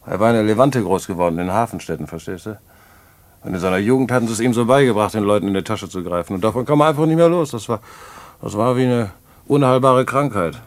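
A man playing a swaggering, slangy petty crook in a radio drama. He has a fairly low voice and tells his tale in a chatty, boastful way. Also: quick pace, close to an everyday voice. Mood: amused. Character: boastful, slangy small-time crook telling his adventure. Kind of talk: radio play storytelling.

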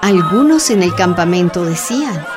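A woman's voice: lowish voice, expressive, moderate pace, over loud music. A woman reading scripted narration for a radio drama.

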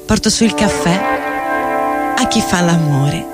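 A woman's voice: fairly low pitch, unhurried, natural voice. A woman giving a theatrical spoken-word reading as a weary, disillusioned porter at a seedy hotel bar. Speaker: stage reader. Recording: loud guitar music under voice. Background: plucked guitar music.

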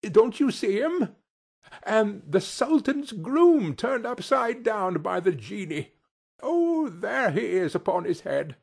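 A man voicing an excitable old man in a storybook, nostalgic and boyish, exclaiming between laughter and tears. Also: on the high side, slow pace, vocal fry, breathy edge, voice pushed up, full character voice. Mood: excited delight. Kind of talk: dramatized storybook character voice.

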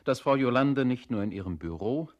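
An actor in an experimental radio play, playing a pedantic reasoner who sets out assumptions with emphatic precision. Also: male voice, mid-range voice, lively intonation, fairly quick, darkish tone, muffled recording, natural voice. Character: pedantic male reasoner setting out hypothetical assumptions. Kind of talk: experimental German radio play, scripted recitation.

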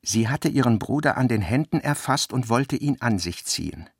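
A man's voice: medium pitch, expressive, moderate pace, slightly rough, no echo, studio quality. A man reading literary prose as the narrator of a radio drama.

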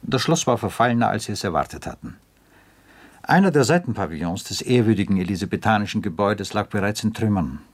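Deep, husky male narrator. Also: lively intonation, fast. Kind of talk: scripted literary narration for a radio drama.

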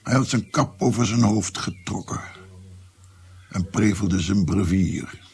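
Deep, husky, gravelly old-world physician narrator. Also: male voice, measured pace, darkish tone, heavily acted. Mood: sombre. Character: weary male Renaissance physician recounting his past at court. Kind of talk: radio drama narration, reflective storytelling.

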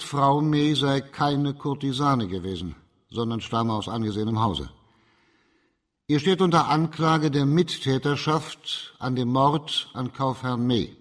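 An actor playing a composed, stern judge and investigator in a radio crime drama, measured and formal. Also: male voice, mid-range voice, fairly bright tone.

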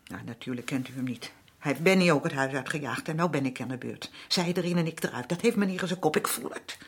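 An actress playing a weary, aggrieved working-class wife in a radio drama, middle-aged, with a colloquial, complaining way of talking. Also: female voice, deep voice, fast, slightly rough. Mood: bitter, aggrieved. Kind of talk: naturalistic radio drama acting.

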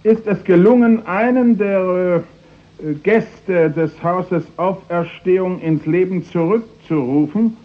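A man's voice: high voice, slow, lo-fi sound. A man acting a smug, cynical prime minister with a pompous, ironic manner in a 1960s German radio play. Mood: pompous, official. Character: smug, cynical male prime minister addressing his cabinet.

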